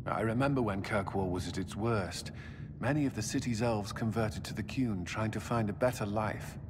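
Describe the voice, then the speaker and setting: A man's voice: lowish voice, normal speed, background noise, close to an everyday voice. An actor playing a dutiful male commander who speaks in a firm, earnest manner in a video game. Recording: game audio with long reverb and music.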